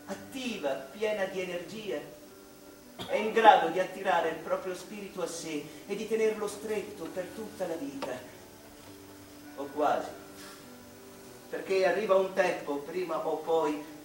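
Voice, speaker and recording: An actor playing an anguished, reflective English mathematician in a theatrical radio monologue, slow and dramatic, with pauses between short phrases. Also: male voice, high voice. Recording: roomy, distant stage-like sound, music behind.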